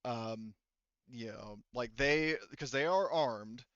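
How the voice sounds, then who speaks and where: A man running a tabletop roleplaying game as game master, explaining story and rules in a halting, informal way. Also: mid-range voice, fairly bright tone, smooth, no echo, lo-fi sound. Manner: halting, hedging, informal and profane.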